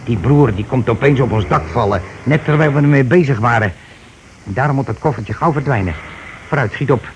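An actor playing a scheming, irritable husband in a radio play, speaking insistently and colloquially. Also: male voice, mid-range voice, brisk, darkish tone, muffled recording, lightly acted. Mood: brisk, scheming. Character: scheming, irritable husband covering his tracks. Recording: hissy old radio recording.

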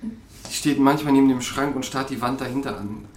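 A man's voice: medium pitch, brisk, echoey room, recorded from a distance, slight background noise, close to an everyday voice. An actor playing an evasive male tenant, hesitant and stammering, in a naturalistic audio drama. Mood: casual, sheepish. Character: evasive male urban tenant on an awkward first date.

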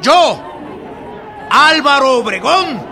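A man playing an embattled revolutionary general in a radio drama, loudly and insistently addressing an unruly assembly in declamatory style. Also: very high voice, animated, slow pace, exaggerated voice. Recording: shouting crowd, loud outdoor noise.

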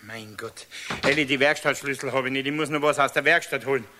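A man's voice: mid-range voice, fast talker, lightly acted. An actor with a Bavarian accent playing a flustered, irritable craftsman husband in a 1970s radio play, blustering and exclaiming. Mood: flustered, annoyed. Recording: hissy old radio recording.

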